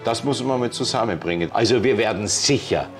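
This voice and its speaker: A man's voice: lowish voice, animated, a little fast, faint music behind. Courteous older male interviewer. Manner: courteous, effusive. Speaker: interviewer, host. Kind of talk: formal interview. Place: ornate indoor reception room. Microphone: lapel.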